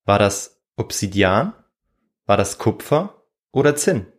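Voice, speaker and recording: A man's voice: on the low side, sing-song, takes it slowly. Man telling history on a podcast. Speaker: podcast host and storyteller. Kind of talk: semi-scripted history storytelling in a podcast. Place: warm indoor room.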